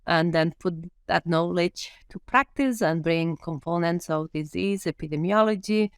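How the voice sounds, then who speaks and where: A woman's voice: on the low side, moderate pace, clean tone, no room sound, clean studio sound. A woman in her fifties from Spain with a Spanish accent, a veterinary professor being interviewed and talking it through thoughtfully with frequent ums. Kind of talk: podcast interview.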